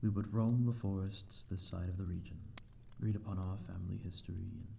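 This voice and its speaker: A man's voice: low-pitched, steady tone, a little fast, vocal fry, dark tone, a little hiss or hum. A man playing a courteous, lonely vampire host in an ASMR audio roleplay, speaking in a formal, flowery, slightly flustered and hesitant way. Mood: courtly, wistful. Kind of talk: ASMR audio roleplay, scripted character acting.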